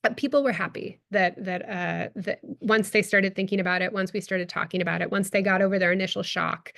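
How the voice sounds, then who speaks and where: American academic woman, 30s; thoughtful Q&A answers, halting, frequent ums. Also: medium pitch, steady tone, creaky, fairly bright tone. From United States. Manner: hesitant, many fillers and restarts, laughs often. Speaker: guest speaker, anthropologist. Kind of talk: lecture Q&A answer.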